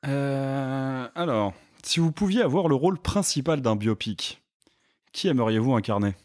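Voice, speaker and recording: A man hosting a casual podcast interview, asking questions in a relaxed, teasing way. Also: mid-range voice, unhurried. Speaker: podcast host.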